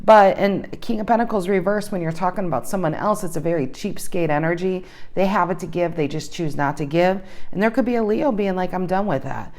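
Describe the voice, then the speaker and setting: A woman's voice: on the low side, a little fast, hardly any pauses, darkish tone. Woman chatting thru a tarot reading, voice kinda going, repeats herself while pulling cards. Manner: chatty, thinking aloud, repeats questions while shuffling. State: slightly losing her voice. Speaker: tarot reader. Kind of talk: casual tarot reading to camera.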